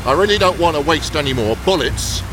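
Man playing a high-pitched ruthless gang captain. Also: lively intonation, brisk, background noise, close to an everyday voice. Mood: cold, menacing. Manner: menacing, curt, commanding. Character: ruthless, cold criminal gang captain, male villain. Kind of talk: audio thriller drama acting. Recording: music and effects behind.

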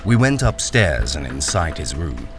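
A low-voiced, weary ex-soldier and miner tells his story in a radio crime drama. His delivery is wary and moves freely up and down in pitch. Music and rain sit behind him. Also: big pitch swings, unhurried, heavily acted. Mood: brooding, subdued. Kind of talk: radio crime drama, first-person narration and dialogue.

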